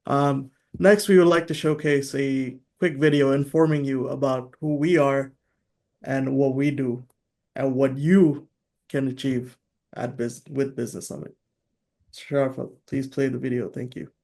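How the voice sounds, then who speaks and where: Gravelly-voiced man hosting an online event. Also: medium pitch, fairly even intonation, measured pace, a little mellow. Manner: polite, formal, some fillers. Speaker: event host.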